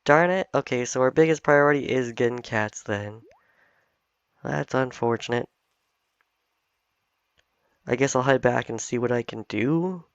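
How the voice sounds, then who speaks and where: A slightly hoarse man chatting casually as he plays a game, thinking aloud as he goes. His voice has a gravelly edge. The dull, dry home recording carries faint game music underneath. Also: mid-range voice, unhurried, long pauses, no echo. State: slightly hoarse, recovering from illness. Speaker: gaming video host. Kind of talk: casual let's-play commentary.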